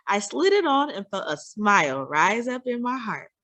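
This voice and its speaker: A woman reading a children's picture book aloud, expressively and deliberately, with dramatic delivery. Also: mid-range voice, very animated, fairly bright tone, dry recording. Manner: deliberate and dramatic, acting out dialogue. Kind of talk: expressive scripted read-aloud narration.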